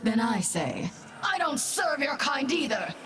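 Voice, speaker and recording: Slow, echoing female demon. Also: lowish voice. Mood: wry, gloating. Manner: slow, deliberate, theatrical, sardonic. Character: sly, haughty female dreadlord demon, menacing and wry. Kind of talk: video game character voice lines. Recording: echoing voice with game ambience noise.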